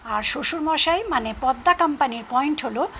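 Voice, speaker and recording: An actress playing a young Bengali daughter-in-law who briskly announces a family quiz in an audio drama. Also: female voice, high voice, lively intonation, bright, forceful tone, heavily acted. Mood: businesslike, playful. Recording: faint noise behind voice.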